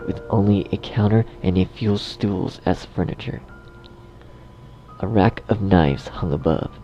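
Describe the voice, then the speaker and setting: A man's voice: deep voice, vocal fry, mellow, low-quality recording. A man narrating a scripted horror story dramatically, as its storyteller. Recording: faint music behind the voice.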